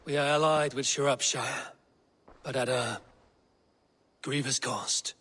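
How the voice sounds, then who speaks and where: An actor playing a blunt, loyal Viking raider in a video game, grave and restrained. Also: male voice, medium pitch, steady tone, slow pace, bright, forceful tone, husky, heavily acted. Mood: sombre. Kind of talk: dramatic video game acting.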